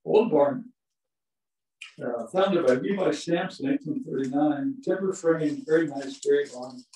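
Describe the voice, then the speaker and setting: A man's voice: on the high side, measured pace, mellow, slight background noise. An older man giving a chatty, anecdote-filled lecture, chuckling now and then as he tells his stories. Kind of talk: storytelling museum lecture. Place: small museum meeting room, indoors. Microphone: far camera, no visible mic. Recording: echoey room from far off.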